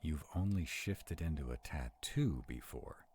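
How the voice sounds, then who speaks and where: A man playing a sarcastic, protective vampire in a roleplay audio drama, wary and quick-witted, talking to unseen companions. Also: very deep voice, lively intonation, dark tone, slight background noise. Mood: wary, uneasy.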